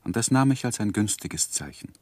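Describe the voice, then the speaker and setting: An actor playing an earnest, lovesick young gentleman who narrates his life in a measured, introspective 1960s German radio drama. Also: fairly low pitch, lively intonation, no echo, lightly acted. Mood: wistful. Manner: measured, introspective literary delivery.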